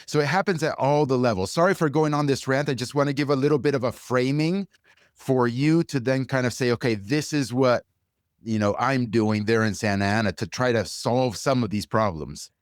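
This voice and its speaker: A man's voice: mid-range voice, moderate pace, no echo, studio quality. A man hosting a podcast, talking casually and with opinion in an informal conversational way. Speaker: podcast host.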